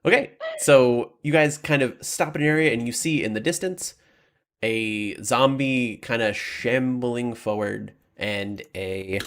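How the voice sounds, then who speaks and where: Male game master, gravelly edge, mid-pitched, informal halting narration of a tabletop campaign. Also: a bit bright.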